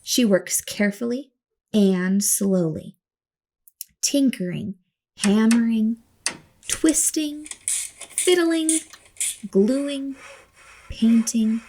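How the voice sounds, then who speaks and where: A woman storyteller reading a picture book aloud to children in an expressive, dramatic way, acting out the words with her voice. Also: a little high, extremely slow, long pauses.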